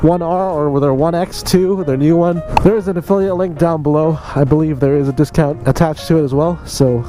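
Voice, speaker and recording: A man's voice: fairly high pitch, steady tone, brisk, few pauses, over music, low-quality recording. A young man telling a casual story in a chatty way, speaking directly to his viewers like a vlogger.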